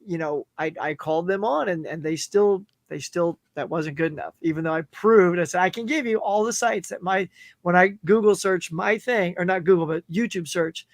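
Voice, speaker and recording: A middle-aged man with a notably high voice tells stories with animated energy, acting out other people's lines as he goes. His voice sounds strained, as if it is giving out. The sound is close and dry, with no room echo. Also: moderate pace. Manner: animated storyteller who acts out what others said. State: losing his voice. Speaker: guest YouTuber. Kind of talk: live stream chat.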